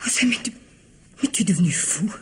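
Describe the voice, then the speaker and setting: An actress playing a frightened, devoted, high-strung wife in an old French radio mystery, speaking in breathless, distraught exclamations. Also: female voice, expressive, brisk, breathy edge. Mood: alarmed, indignant. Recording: noisy old radio recording with ambience.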